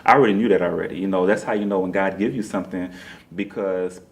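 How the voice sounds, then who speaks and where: A man's voice: deep voice, fairly even intonation, fast talker. A man being interviewed as a playwright, talking casually and conversationally, often saying "you know.".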